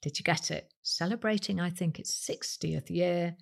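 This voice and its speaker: A woman's voice: on the low side, moderate pace, gravelly. A woman with a British accent hosting a quiz podcast, reading scripted questions and answers to listeners.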